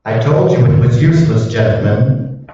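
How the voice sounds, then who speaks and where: A man playing a smug, formal villainous research director in a radio drama, speaking deliberately and with condescension. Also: mid-range voice, mellow, natural voice. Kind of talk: old-time radio drama acting. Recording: echoey hall, distant dull mic.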